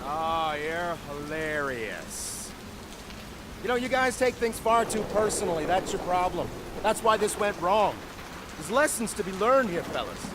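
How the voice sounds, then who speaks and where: A flippant hired fixer in a video game. His high voice swoops about with mocking, breezy sarcasm, rain noisy behind him. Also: animated, a little slow. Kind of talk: dramatic video game acting. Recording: clear rain noise behind.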